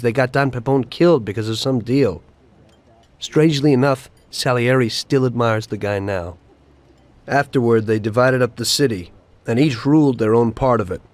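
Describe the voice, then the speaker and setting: A man's voice: mid-range voice, natural voice. Disillusioned American gangster played by a male actor, mid-range voice, weary and cynical, telling his story plainly for a crime game. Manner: reflective, plain-spoken, cynical. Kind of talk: dramatic game cutscene narration.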